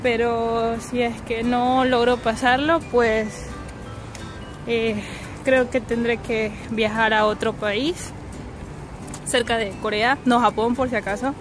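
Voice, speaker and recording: A young woman from Ecuador chats to her viewers in a fairly high voice with an Ecuadorian accent. Her delivery is casual and giggly, the talk of a handheld outdoor vlog. Music plays clearly underneath her. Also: level tone, slow. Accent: Ecuadorian Spanish. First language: Spanish. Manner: chatty, giggly. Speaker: vlogger. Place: outdoors on a riverside path at night. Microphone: handheld phone or camera.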